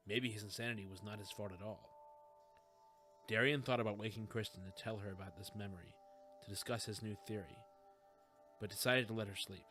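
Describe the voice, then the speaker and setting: A man's voice: fairly low pitch, a bit bright. A man reading scripted fiction as an audiobook narrator.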